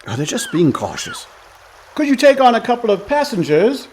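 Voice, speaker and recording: An actor playing a breezy, smooth-talking con man older brother with a glib, theatrical manner in a comic radio play. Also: very high voice, wildly swooping pitch, moderate pace, darkish tone, heavily acted. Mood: glib, persuasive.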